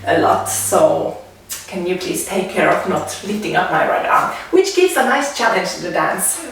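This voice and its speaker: A woman's voice: on the low side, very animated, gravelly. Finnish-accented woman instructor in an echoey room. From Finland. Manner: halting, pausing between short phrases while demonstrating. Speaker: dance and fitness instructor. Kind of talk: spoken exercise demonstration. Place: indoors at home, away from the mic. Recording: echoey room, distant mic, faint noise.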